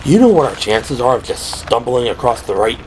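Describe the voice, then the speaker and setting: A man's voice: medium pitch, very animated, brisk, a little mellow, natural voice. A man playing an adult police officer, weary and wry, in an audio drama. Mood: firm, wry. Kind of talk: audio drama character acting. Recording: roomy echo, distant, background noise.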